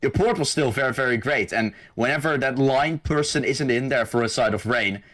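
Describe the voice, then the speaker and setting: A man's voice: medium pitch, a little fast, a bit bright. A man with a Dutch accent, a native Dutch speaker, giving casual post-match analysis as a co-caster, rambling and repeating words. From Netherlands. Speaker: guest co-caster.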